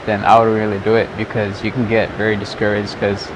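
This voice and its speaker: A man's voice: lowish voice, completely flat delivery, fairly quick. A young man from Southern California, a vlogger and photographer, chatting loosely to the camera outdoors at sunset, rambling with lots of "like"s. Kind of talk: casual chat to camera. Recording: outdoor noise with traffic.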